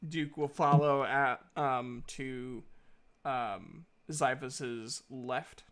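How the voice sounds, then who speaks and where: A man improvising narration as game master of an online tabletop game, with halting, hesitant phrasing. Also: mid-range voice, fairly even intonation, fairly bright tone.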